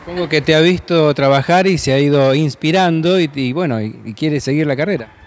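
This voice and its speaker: An Argentine man reporting from an indoor event, his voice sitting a little high. He asks question after question in a friendly, upbeat way, and he speaks into a handheld microphone. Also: fairly quick. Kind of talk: on-the-spot event interview. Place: indoor school hall.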